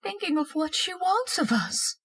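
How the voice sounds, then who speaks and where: A domineering wife in an old-time radio horror play. Her voice is very high and swings dramatically. She scolds and orders people about with histrionic, haunted urgency. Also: sing-song, moderate pace, a bit bright, exaggerated voice. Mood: hushed dread. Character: shrill domineering wife, frightened by a ghostly intruder.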